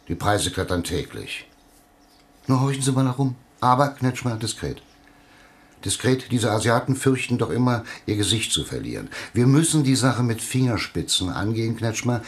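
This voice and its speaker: A self-important small-town newspaper boss in a radio play. He is pompous and preachy, his pitch swinging widely as he hammers his points home and repeats them with scheming relish. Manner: pompous, emphatic, repeats himself for effect. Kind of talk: German radio play acting.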